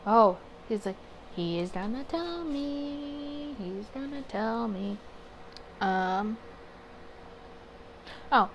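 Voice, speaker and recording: A woman's voice: on the high side, expressive, very slow, long pauses, slight background noise. A woman hosting a visual novel playthrough, reading aloud and commenting to herself, sometimes stumbling over words. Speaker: gaming video host.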